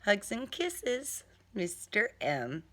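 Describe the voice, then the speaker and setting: A woman voicing a menacing, courtly male serial killer in an audiobook, hushed, deliberate and mock-polite. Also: mid-range voice, huge pitch swings, heavily acted. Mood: mock-polite menace.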